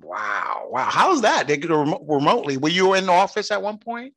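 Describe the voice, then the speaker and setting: A man's voice: sits high, very animated, brisk, sharp tone. A man hosting a podcast, interviewing a guest over the phone in an upbeat, encouraging way. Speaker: podcast host.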